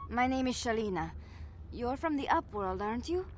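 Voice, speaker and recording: A woman playing a gentle, earnest, sheltered young village woman in a video game. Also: fairly high pitch, lively intonation, moderate pace, slight background noise, natural voice. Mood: wistful.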